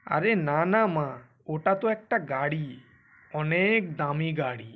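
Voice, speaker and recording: A man's voice: on the high side, expressive, a little slow, fairly bright tone. A man voicing a boastful, lazy husband from a faded landlord family in a Bengali cartoon, with a pompous, bragging manner.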